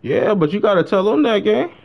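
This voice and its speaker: A man's voice: high-pitched, very animated, fairly quick, gravelly, muffled recording. A young American man chatting casually on a gaming live stream, repeating phrases and using heavy street slang. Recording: noisy live stream with game sounds.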